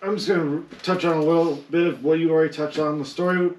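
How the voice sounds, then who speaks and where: A man's voice: on the high side, steady tone, quick pace, a little mellow, muffled recording. A man co-hosting a podcast in casual, informal panel chat. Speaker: podcast co-host. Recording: roomy echo, distant mic, faint noise.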